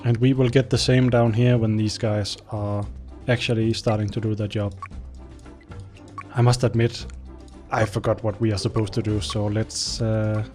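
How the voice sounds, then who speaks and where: Man, Danish native speaker, young adult, let's-play commentary, mellow tone, thinking aloud. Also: fairly low pitch, little pitch movement, normal speed, soft background music. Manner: thinking aloud, rambling, talks to his units. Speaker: gameplay commentator.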